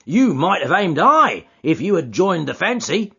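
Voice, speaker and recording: A man doing a gruff stubborn porter. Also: sits high, big pitch swings, unhurried, pushed and bright, voice pushed up, heavily acted. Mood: hearty. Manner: blunt and stubborn, with rough dropped grammar. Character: gruff, stubborn burly male porter and ex-boxer. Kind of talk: audiobook character voice. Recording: dull lo-fi audiobook recording.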